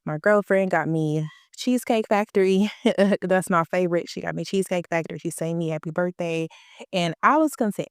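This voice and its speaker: Woman in her 30s doing her podcast, bit stuffy nose, lowish smooth voice, dry close mic. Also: moderate pace, no room sound. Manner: chatty, emphatic, slangy. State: stuffy from a cold. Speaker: podcast host. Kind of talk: casual motivational podcast talk.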